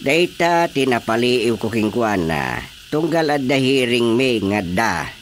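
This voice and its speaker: A man's voice: mid-range voice, big pitch swings, measured pace, a little hiss or hum. An actor with an Ilocano accent playing an older husband and father in a radio drama. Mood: earnest.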